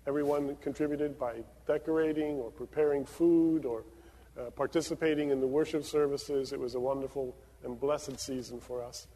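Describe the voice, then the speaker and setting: A man's voice: on the high side, dark tone. A man from Venezuela, a pastor leading prayers and giving announcements with many fillers. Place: church sanctuary. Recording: long reverb of a church sanctuary.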